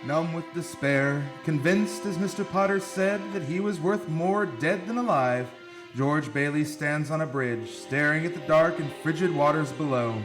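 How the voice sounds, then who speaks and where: A man's voice: fairly high pitch, normal speed, close to an everyday voice, poor audio. A man playing the storytelling narrator of a radio play, reading in a dramatic scripted style with music behind. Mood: grave, suspenseful.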